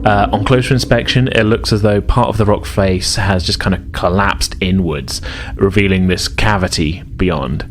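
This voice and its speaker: A man's voice: low-pitched, moderate pace, a little hiss or hum. A man narrating a tabletop fantasy game as game master, conversational and hesitant, rephrasing his descriptions as he goes.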